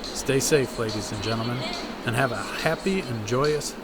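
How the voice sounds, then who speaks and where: A man's voice: medium pitch, moderate pace, over a noisy crowd, heavy background noise. A man hosting a radio presentation, reading a scripted sign-off to listeners. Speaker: host. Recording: loud music behind the voice. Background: loud music.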